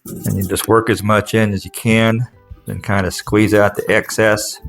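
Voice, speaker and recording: A man's voice: on the low side, little pitch movement, normal speed, gravelly, faint music behind. A man giving casual, plain-spoken how-to narration as a craftsman, explaining things step by step in a folksy way. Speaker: furniture restorer and instructor.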